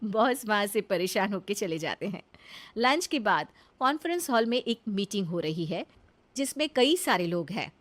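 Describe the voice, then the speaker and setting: A woman's voice: mid-range voice, big pitch swings, normal speed. A woman narrating a scripted comic cartoon story as its storyteller.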